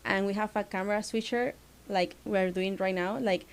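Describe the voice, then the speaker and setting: A woman from Venezuela speaks English with a Venezuelan Spanish accent, her voice smooth and clean at an ordinary mid pitch. She explains things in a relaxed, rambling way, full of "like" fillers. She sounds close to a studio podcast mic in a small studio. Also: moderate pace. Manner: relaxed, many "like" fillers, plain non-native phrasing. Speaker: studio founder, guest. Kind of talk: casual interview chat.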